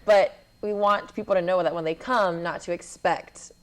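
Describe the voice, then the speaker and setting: A woman's voice: lowish voice, fairly even intonation, moderate pace. A young woman, a student theater director and actor, answering questions conversationally as an interview guest. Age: young adult.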